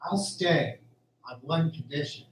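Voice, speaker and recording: Male actor for earnest, puzzled young farm lad, higher pitch, radio play with roomy echo. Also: unhurried, close to an everyday voice. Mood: hesitant, hopeful. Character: bewildered, earnest young male farmhand hero. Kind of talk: amateur radio drama acting. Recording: echoey room, faint background noise.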